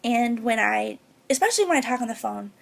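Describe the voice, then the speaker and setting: A young woman with a high-pitched, girlish voice, talking earnestly and a little hesitantly to camera as a beauty vlogger. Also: animated, brisk, gravelly, fairly bright tone. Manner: earnest and heartfelt, a bit hesitant. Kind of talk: personal talk to camera.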